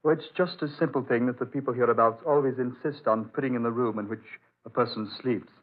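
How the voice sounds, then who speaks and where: Brisk, firm island doctor , acted by a man, medium pitch, guarded and fatherly, narrowband vintage radio drama. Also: fairly even intonation, close to an everyday voice. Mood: evasive. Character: reassuring but guarded doctor, protective father on remote island. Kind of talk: old-time radio drama acting. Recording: muffled narrowband old radio recording.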